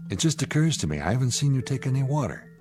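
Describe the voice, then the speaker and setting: An American actor playing an irritable, sarcastic spaceship commander in a sci-fi radio drama, wry and needling. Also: male voice, mid-range voice, sing-song, quick pace, darkish tone, soft background music, lightly acted.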